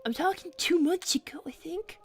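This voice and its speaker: A woman's voice: very high-pitched, expressive, moderate pace, soft background music. A woman playing a smug, precocious young cartoon fox boy co-hosting an in-character livestream with matter-of-fact, needling chat. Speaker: livestream co-host.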